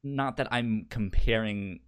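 A man co-hosting a podcast, chatting casually about a TV show, stammering and repeating sounds and words as he talks in an animated way. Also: on the low side, takes it slowly, clear, resonant tone. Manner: animated, acts out quotes. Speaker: podcast co-host.

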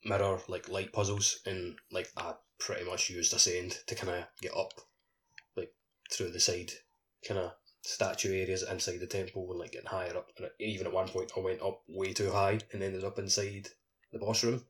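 A man with a low-pitched voice chats as a podcast co-host about video games. He rambles in loose, casual sentences, padding them heavily with "like". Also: level tone, moderate pace, fairly bright tone. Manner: rambling, heavy use of "like". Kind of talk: casual gaming podcast chat.

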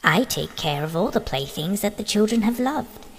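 A man voicing a kind, gentle female fairy in a soft falsetto, reading a storybook. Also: lively intonation, normal speed. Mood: tender.

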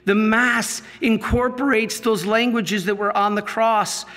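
High, breathy priest in a reverberant hall. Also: male voice, steady tone, bright, forceful tone. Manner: slow storytelling, halting restarts, rising to emphatic teaching. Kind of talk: sermon with a personal story.

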